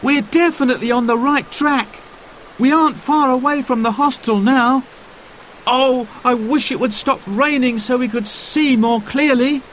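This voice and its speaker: A man doing a high, eager young boy. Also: a little slow, slight background noise, low-quality recording. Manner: urgent, excited exclamations.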